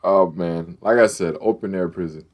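A man's voice: deep voice, moderate pace, darkish tone. A man casually chatting over gameplay as a streamer, slangy and full of trash talk. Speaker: gaming streamer.

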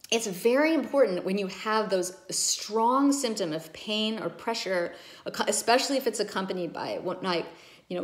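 A middle-aged woman doctor explaining nose and sinus care to a phone camera at home, earnest and emphatic. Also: medium pitch. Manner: earnest, emphatic, gestures with her hands. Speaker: ENT doctor. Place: home room with bookshelves, indoors. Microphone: phone camera at arm's length.

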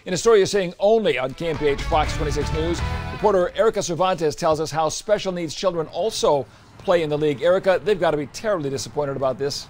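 A man's voice: on the high side, fast talker, music in the background. A man in his forties anchoring a scripted newscast in a TV studio. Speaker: news anchor. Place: TV news studio.

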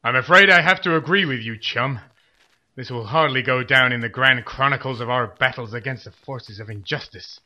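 A man's voice: mid-range voice, normal speed, pushed and bright, dull audio. A man playing a pompous, earnest superhero commander in a comedy audio drama, with heroic formality that slips into friendly chat.

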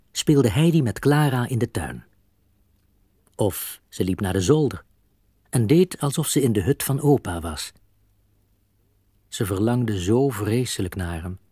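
Male narrator, Dutch accent, audio drama. Animated storybook delivery, dry close recording. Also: mid-range voice, breathy edge, no room sound. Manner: expressive, storybook telling.

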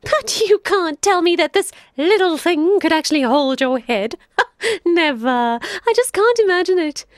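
A woman voicing a tricky male jackal who talks in a fast, fawning and giggly way in a storybook. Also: extremely high pitch, animated, no room sound, clean studio sound, pitched above the performer's own voice. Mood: sly. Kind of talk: storybook read-aloud.

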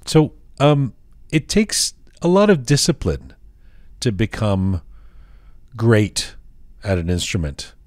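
A man hosting an interview, asking long questions in a halting way with many restarts. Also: lowish voice, very animated, darkish tone, no room sound, studio quality. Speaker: podcast host, interviewer.

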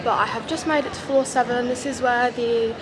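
A woman's voice: on the high side, steady tone, brisk. A young woman chatting to a handheld camera in a casual vlog, full of fillers like "like". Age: young adult. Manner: chatty, filler-heavy, lots of "like". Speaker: vlogger. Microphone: handheld camera close to the face. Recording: loud background noise.

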